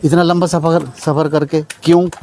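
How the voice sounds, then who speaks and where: A male TV reporter asks gentle, probing questions at an outdoor protest. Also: fairly high pitch, level tone, normal speed. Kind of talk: field interview.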